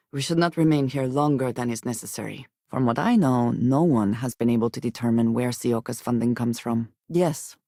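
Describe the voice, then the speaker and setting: Female sci-fi game explorer, guarded, intense, formal measured phrasing, creaky voice, dry studio sound. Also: a bass voice, mellow, no echo, heavily acted. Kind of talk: video game character dialogue.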